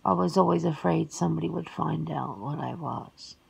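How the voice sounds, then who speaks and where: A woman playing a wistful, reserved vampire sister in an ASMR roleplay, speaking slowly and formally in a hushed voice. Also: low voice, gravelly, husky, full character voice, low-quality recording. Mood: melancholy, confiding. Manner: slow, hushed, formal, measured. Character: wistful, reserved, long-lived vampire sister, lonely wanderer.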